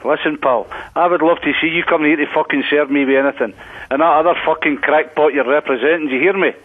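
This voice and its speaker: Sweary, fast-talking Glaswegian man on the phone. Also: fairly high pitch. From Glasgow, Scotland. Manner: sweary, combative, blunt. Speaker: prank call victim. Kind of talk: heated phone argument. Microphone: telephone. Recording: muffled phone line, broadcast on radio.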